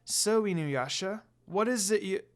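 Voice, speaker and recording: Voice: mid-range voice, animated, a little slow, pitched above the performer's own voice. A storyteller voicing an earnest, easily frightened and confused young woman in a fan fiction reading. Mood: friendly, curious.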